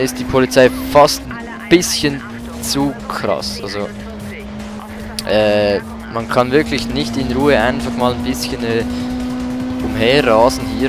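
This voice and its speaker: A man giving casual, colloquial gameplay commentary with exclamations as he plays. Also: medium pitch. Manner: colloquial, mildly swearing, exclaims while playing. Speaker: let's play host. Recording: loud music and car noise behind. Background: game music and car engines.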